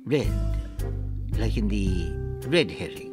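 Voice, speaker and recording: A man acting a fussy, excitable, eccentric tradesman with pompous, wordy speech in a comic audio drama. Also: on the low side, takes it slowly, big-room sound, music in the background. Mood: bemused.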